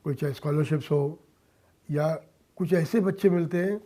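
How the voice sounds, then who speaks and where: A man giving earnest interview answers in emphatic, halting short phrases, as a guest in a radio studio. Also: a little high, dark tone. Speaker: interview guest, industrialist and philanthropist. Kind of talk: earnest interview answers mixing Hindi and English.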